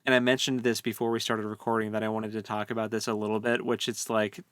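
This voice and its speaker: A man's voice: lowish voice, flat delivery, quick pace, fairly bright tone, no echo, studio quality. A man co-hosting a book discussion in a casual chat style, thinking aloud in a hesitant way. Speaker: podcast co-host.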